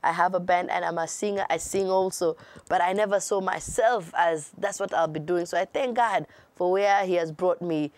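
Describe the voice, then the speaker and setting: A woman's voice: on the low side, normal speed, no echo. A woman from Kenya with a Kenyan accent and Swahili as her first language, answering interview questions expressively. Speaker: guest artist.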